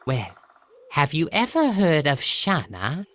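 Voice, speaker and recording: A performer voicing an excitable, self-important little male messenger creature in a video game, with a dramatic manner. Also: medium pitch, takes it slowly, exaggerated voice. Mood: explaining.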